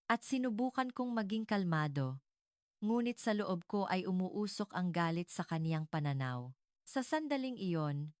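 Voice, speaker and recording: A woman narrating a dramatic first-person story from a script in a measured, formal, earnest manner. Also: low voice, clean tone, no room sound, clean studio sound.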